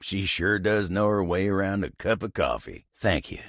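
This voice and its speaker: A man voicing a humble, hardworking living table in a video game, with a gravelly, husky Southern American drawl and a folksy manner. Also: deep voice, lively intonation, normal speed, fairly bright tone, dry recording, clean studio sound. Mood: friendly, modest. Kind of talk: video game character voice acting.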